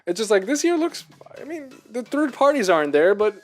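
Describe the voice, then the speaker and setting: A man's voice: very high voice, big pitch swings, brisk, soft background music. A man co-hosting a casual video game discussion, talking in an earnest, emphatic way and often asking rhetorical questions. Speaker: podcast co-host.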